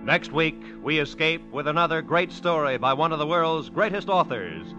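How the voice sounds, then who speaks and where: An American man reading scripted credits as a 1940s network radio announcer, formal and measured. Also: on the high side, animated. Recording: muffled vintage radio, music behind.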